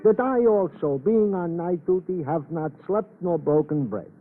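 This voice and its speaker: An actor playing a chatty, deferential police sergeant in an old-time radio drama, with florid, roundabout phrasing. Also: male voice, sits high, lively intonation, a little slow, phone-quality sound. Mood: earnest, eager. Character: chatty, deferential Italian-American police sergeant on night duty. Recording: thin, band-limited old radio recording.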